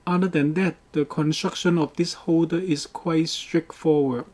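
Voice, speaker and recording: A man narrating a scripted how-to about a DIY project in a measured, careful way. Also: a little high, fairly even intonation, a little mellow. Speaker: DIY boat project presenter.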